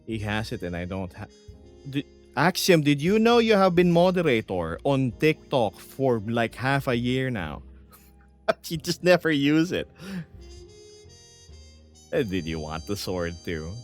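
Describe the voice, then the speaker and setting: A man's voice: medium pitch, very animated, measured pace, pauses often. Man chatting with his viewers over music. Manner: laughs often, reads chat aloud. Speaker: streamer. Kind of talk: casual livestream chat.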